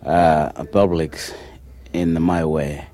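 A man giving hesitant interview answers, pausing often with fillers. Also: rumbling low, level tone, mellow. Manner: hesitant, many pauses and fillers. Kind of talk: halting interview answers in English.

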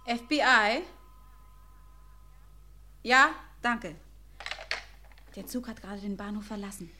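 A woman's voice: mid-range voice, takes it slowly, lightly acted. An actress playing an efficient police switchboard operator in a radio crime drama, brief and official. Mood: businesslike. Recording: clear background noise, clicks.

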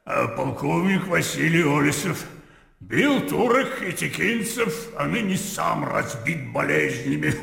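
Gravelly, blustering old colonel. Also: male voice, a little high, pushed and bright, roomy sound, long reverb tail, heavily acted. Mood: gruff hearty greeting. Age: older. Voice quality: gravelly, wheezing. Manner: blustering, blunt, chuckling between phrases. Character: sick, irritable, boisterous old retired Russian colonel. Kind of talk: radio drama acting.

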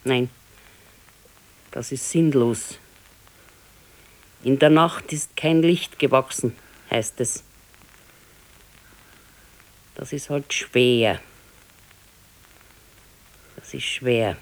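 Radio play: old Bavarian wife, plain-spoken and resigned, patiently consoling, naturalistic delivery. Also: female voice, a bass voice, extremely slow, pauses often, heavily acted, poor audio. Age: older. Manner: simple, matter-of-fact, resigned. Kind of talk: naturalistic 1970s radio drama acting.

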